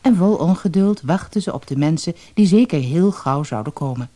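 Very animated woman telling a fairy tale. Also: low-pitched, normal speed. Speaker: storyteller. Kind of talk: expressive fairy-tale narration.

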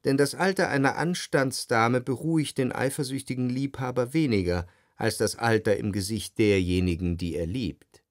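An actor playing a refined, jealous, brooding man who narrates his memories in a measured, articulate radio play performance. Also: medium pitch, no room sound, clean studio sound, close to an everyday voice. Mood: wistful, brooding.

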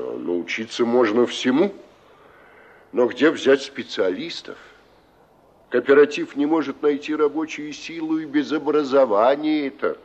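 Wordy skeptical fishing boss, very lively delivery, dull vintage soviet radio drama audio. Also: male voice, mid-range voice, husky, exaggerated voice. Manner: explanatory, rhetorical. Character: skeptical fishermen's brigade leader, wordy and dismissive. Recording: muffled 1960s radio play recording.

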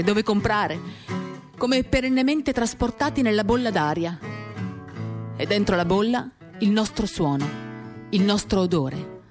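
A woman giving a dramatic, confiding first-person reading of literary prose, measured and intimate, in short reflective phrases. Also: mid-range voice. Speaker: reader of a novel for radio theatre. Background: acoustic guitar music.

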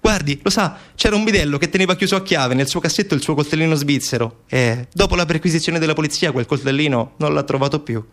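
A man playing a plain-spoken building-site foreman in a stage monologue, halting and emphatic as he testifies. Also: fairly high pitch, fairly bright tone, voice pushed up, lightly acted. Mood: insistent, confiding. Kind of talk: theatrical monologue character.

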